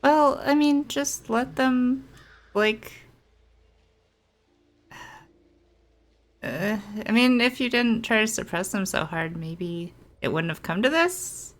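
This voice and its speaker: A woman doing casual, playful gameplay commentary, chatty and often halting in her asides. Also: on the high side, animated. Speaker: gameplay streamer. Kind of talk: casual playthrough commentary with read-aloud game text.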